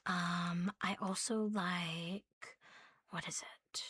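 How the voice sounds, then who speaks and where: Breathy, playful woman doing soft ASMR. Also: lowish voice, steady tone, very slow, dry recording. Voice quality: soft, breathy, often slipping into whisper. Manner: playful, cutesy catgirl persona, talking to viewers. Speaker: ASMR host. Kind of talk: soft ASMR rambling show-and-tell.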